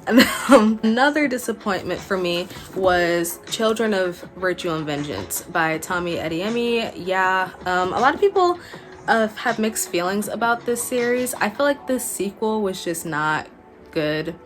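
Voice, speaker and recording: A woman's voice: fairly low pitch, fairly bright tone. A woman in her twenties chatting informally and emphatically to camera like a book vlogger. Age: 20s. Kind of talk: casual chat to camera. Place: small furnished room at home, indoors. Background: background music.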